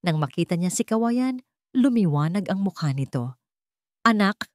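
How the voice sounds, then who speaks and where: A woman's voice: lowish voice, big pitch swings, normal speed, mellow, no room sound, studio quality. A woman reading a scripted dramatic story as a narrator.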